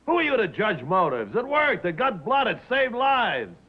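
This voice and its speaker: An actor playing a brash, cynical American wheeler-dealer in a 1950s film drama, with sarcastic, slangy, punchy delivery. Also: male voice, high voice, big pitch swings, moderate pace. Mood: mocking. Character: brash, cynical, mocking male broadcast-business wheeler-dealer. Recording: muffled old film soundtrack.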